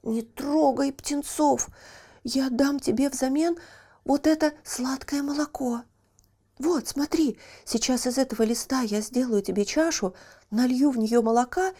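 A woman's voice: sits high, warm tone, voice pushed up. A woman voicing a kind, brave and eager young boy in an audio fairy tale, earnest and exclaiming. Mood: pleading.

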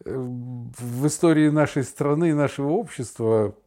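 A man's voice: mid-range voice, a little slow, dark tone. A middle-aged man chatting casually as a podcast co-host, telling stories in a sardonic, theatrical way and acting out imagined remarks. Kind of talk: casual chat, storytelling.

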